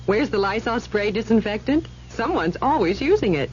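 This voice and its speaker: A woman playing a busy, brisk housewife and mother in a radio commercial. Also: on the high side, lively intonation, a little mellow, lightly acted. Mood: brisk, exasperated. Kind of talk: acted 1970s radio commercial. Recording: dull old radio, faint noise.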